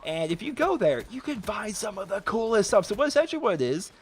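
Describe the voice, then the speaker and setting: Twenties guy from western Pennsylvania, hoarse from a cold, rapid rambling gaming vlogger. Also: sits high, sing-song. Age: 20s. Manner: rambling, heavy filler use. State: sick, raspy voice. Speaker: gaming YouTuber. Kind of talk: casual vlog chat.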